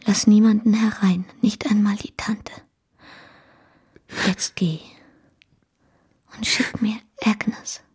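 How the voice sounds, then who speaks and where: An actress playing a frail, dying young wife in a radio play, tender and resigned, in a faint, breathy whisper. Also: female voice, medium pitch, little pitch movement. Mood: gently insistent. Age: young adult. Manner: faint, slow, gentle. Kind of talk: German radio play acting.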